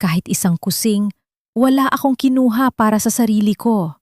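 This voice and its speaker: A woman's voice: on the high side, moderate pace, dry recording, studio quality, close to an everyday voice. A woman voicing a wronged, dutiful grown son in an earnest, pained manner for an audio drama. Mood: hurt pleading.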